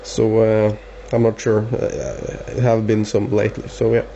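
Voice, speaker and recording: Halting Norwegian man, dull lo-fi sound. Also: fairly low pitch, completely flat delivery, gravelly, mellow. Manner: hesitant, halting, repeats words. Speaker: amateur radio operator filming the contact. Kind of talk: casual two-way radio chat. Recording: dull lo-fi camera sound, faint hiss.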